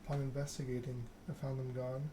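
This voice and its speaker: A man playing a loyal, dutiful vampire sheriff in a video game, giving a formal, deliberate report. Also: medium pitch, little pitch movement, close to an everyday voice. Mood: formal, grave. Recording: clear background noise.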